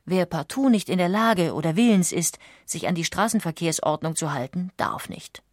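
A woman reading scripted documentary narration with measured, factual delivery. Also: on the low side, expressive, dry recording, clean studio sound.

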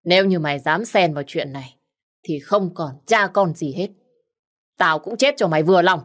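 A woman's voice: fairly low pitch, normal speed, natural voice. A woman doing a stern threatening father.

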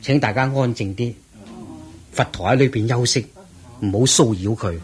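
A man's voice: medium pitch, moderate pace, darkish tone, close to an everyday voice. An actor playing a reverent disciple monk in a radio drama, earnest, respectful and protective of his master. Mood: attentive, protective. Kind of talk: Cantonese radio drama acting.

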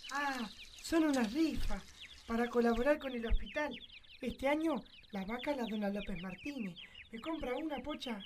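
An actress playing a polite, earnest Argentine village kid selling raffle tickets. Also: female voice, on the high side, normal speed, slight background noise, close to an everyday voice.

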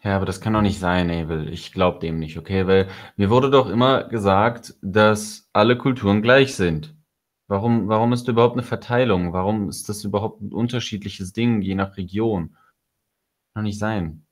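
A man's voice: on the low side, steady tone, moderate pace. A man co-hosting a casual podcast discussion with an ironic, mock-incredulous manner. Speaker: podcast co-host.

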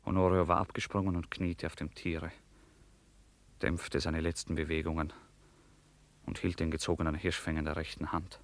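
A man narrating a literary radio drama as storyteller. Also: sits low.

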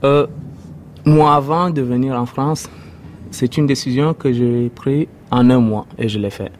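A young man from Sudan, native Arabic speaker, giving a hesitant personal testimony with many pauses. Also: medium pitch, steady tone, a little mellow, a little hiss or hum. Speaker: interviewee, refugee.

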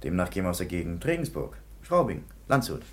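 An actor playing a thoughtful, observant police commissioner of the nineteenth century in a radio drama, measured and musing. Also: male voice, sits low, sing-song. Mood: observant, concerned. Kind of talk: German radio drama acting.

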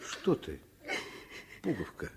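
An actor playing a gentle, reassuring father in his thirties in a Soviet radio play, husky and tender. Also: lightly acted. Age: 30s. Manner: tender, unhurried.

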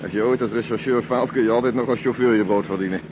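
A man's voice: mid-range voice, steady tone, quick pace, highs cut off, close to an everyday voice. An actor playing a businesslike, dryly wry male police inspector in a radio play, speaking Netherlandic Dutch in a commanding way. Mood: brisk, commanding. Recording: noisy old radio play recording.

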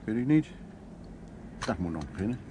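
An actor playing a gruff, stubborn art restorer in his sixties in a radio play, talking in a casual, halting, naturalistic way. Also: on the low side, very animated, noisy background. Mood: mildly impatient. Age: 60s. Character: sixty-year-old male art restorer, gruff, stubborn, reflective.

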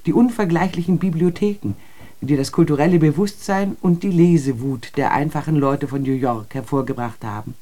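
A sceptical female journalist argues in a literary radio play. Her voice is dark and mellow, her delivery articulate and laced with irony. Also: deep voice. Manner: ironic, articulate. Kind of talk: literary radio drama dialogue.

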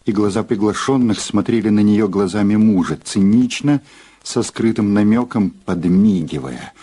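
An actor playing a grave narrator, reading scripted dramatic narration for a crime audio drama. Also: male voice, on the low side, normal speed, dark tone, slightly rough. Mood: ominous.

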